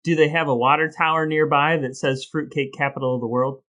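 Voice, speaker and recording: A man's voice: on the high side, fairly even intonation, fairly quick, sharp tone. A man co-hosting a podcast with scripted, playfully mock-solemn delivery and banter. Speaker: podcast co-host. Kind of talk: scripted dramatic reading and bantering podcast talk.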